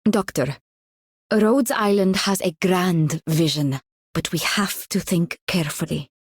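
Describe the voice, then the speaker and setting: Game role: austere female counselor, lowish, measured, very dry sound. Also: husky, no echo. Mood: solemn, earnest. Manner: formal, earnest. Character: principled, austere woman giving careful counsel. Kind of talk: video game character voice line.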